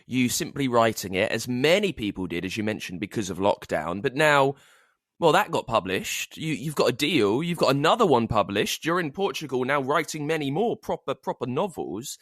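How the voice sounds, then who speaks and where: A man interviews as a podcast host with very lively, swooping intonation and a mid-pitched voice. His questions are long and reflective, circling before they land, recorded close and dry. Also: moderate pace, no echo. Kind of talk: conversational interviewing.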